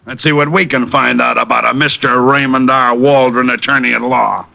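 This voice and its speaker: An actor playing a police lieutenant in a 1950s radio drama, talking in a brisk, commanding way. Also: male voice, medium pitch, fairly even intonation, gravelly, pushed and bright. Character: gruff male police lieutenant. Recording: dull lo-fi old radio recording.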